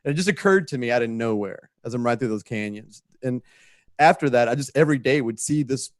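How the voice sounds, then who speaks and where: A man's voice: medium pitch, expressive, fast talker, no echo, studio quality. A man speaking as a guest writer in an interview, telling a story in a rambling, conversational way.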